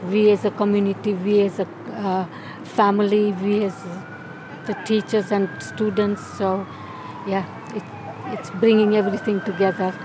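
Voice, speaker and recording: A woman's voice: medium pitch, little pitch movement, moderate pace. A woman who is a teacher speaks earnestly outdoors, building her point through repeated phrases. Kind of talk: casual interview answers. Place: outdoor community event. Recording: noisy outdoor recording with a siren.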